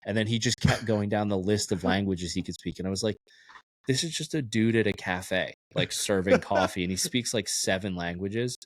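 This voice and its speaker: A young man from Texas co-hosts a casual podcast with a very deep, low voice. He tells animated stories and slips into the voices of the people he quotes. The sound is dry and close, with no room echo. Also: normal speed. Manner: animated storyteller, acts out quoted exchanges. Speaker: podcast co-host. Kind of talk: casual chat.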